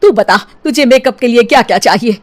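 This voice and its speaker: A woman's voice: on the high side, a little fast, close to an everyday voice. A woman voicing a proud middle-aged mother-in-law in a cartoon story, motherly but chiding and emphatic. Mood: urgent. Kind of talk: cartoon story character acting.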